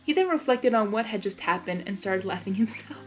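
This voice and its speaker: A woman reading fan fiction aloud as expressive narration, with casual chatty asides. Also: medium pitch, brisk, soft background music.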